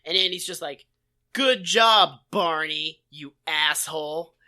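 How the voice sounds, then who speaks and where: A woman co-hosting a podcast in casual, comedic chat, opinionated, slangy and quick to swear. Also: low-pitched, bright, forceful tone. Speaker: podcast co-host. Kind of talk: casual comedic chat recapping an old TV show.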